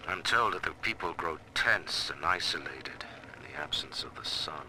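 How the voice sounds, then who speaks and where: An actor playing a proud, disdainful city founder with a pompous, deliberate delivery in a video game. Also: male voice, rumbling low, animated, noisy background. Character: proud, disdainful middle-aged male city founder in audio diary. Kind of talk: dramatic monologue in video game.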